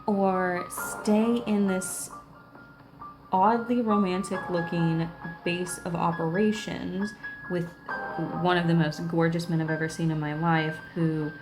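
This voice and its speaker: Woman game streamer, lowish voice, irreverent read-aloud and commentary over prominent music. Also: slow pace, poor audio. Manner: irreverent, salty, expressive. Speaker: gaming video host. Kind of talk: reading game dialogue aloud with casual commentary.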